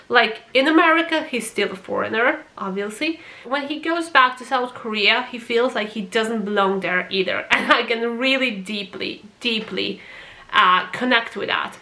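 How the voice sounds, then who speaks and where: A woman's voice: medium pitch, sharp tone. A woman from Croatia, not a native English speaker, talking passionately in a casual opinion vlog about a TV series and swearing for emphasis. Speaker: vlogger reviewing a TV series.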